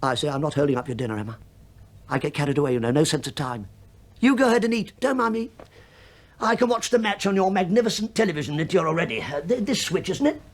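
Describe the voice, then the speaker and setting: A man's voice: a little high, animated, quick pace. An actor playing an English detective inspector in a radio play, a falsely affable, crafty middle-aged man who chats, hesitates and mock-apologises as he digresses. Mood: chatty, sly.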